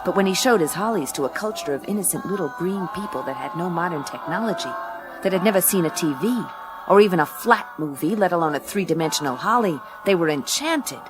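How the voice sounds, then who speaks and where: A woman's voice: on the low side, expressive, a little fast, close to an everyday voice. An actress playing a tough, wisecracking woman detective in a radio play, dry, blunt and slangy. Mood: dry narration. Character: tough, wisecracking woman gumshoe narrating her case. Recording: music under the voice.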